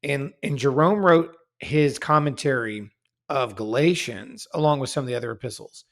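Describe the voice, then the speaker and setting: A man's voice: mid-range voice, lively intonation, normal speed, a bit bright. A man as a guest researcher in a casual scholarly discussion, careful and self-correcting, explaining his findings at length.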